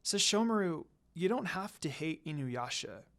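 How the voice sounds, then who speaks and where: A kind teenage girl in a fantasy story, voiced by the narrator. She talks softly and earnestly, with gentle concern and a determined streak. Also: mid-range voice, very animated, normal speed.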